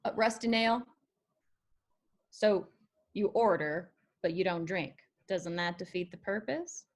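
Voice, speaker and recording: A woman reading a wounded, sharp-tongued young country singer with folksy Southern phrasing in a screenplay table read. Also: lowish voice, measured pace. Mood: wry, probing.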